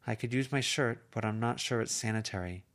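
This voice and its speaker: A man's voice: medium pitch, a little fast, fairly bright tone, pitched above the performer's own voice, close to an everyday voice. A man reading an amateur audiobook, voicing an anxious, earnest teenage girl who pleads hesitantly. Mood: worried.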